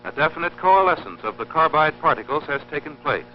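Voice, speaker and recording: Lo-fi film soundtrack with faint hum, male narrator, mid-pitched voice, formal, didactic, measured scripted delivery. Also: animated. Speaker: narrator of an industrial training film. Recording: dull lo-fi old film soundtrack, humming.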